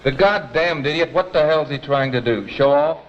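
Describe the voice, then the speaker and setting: Actor as gruff man, muffled old film. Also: on the high side, normal speed, natural voice. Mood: exasperated. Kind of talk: 1940s training film acting. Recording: muffled vintage film, faint noise.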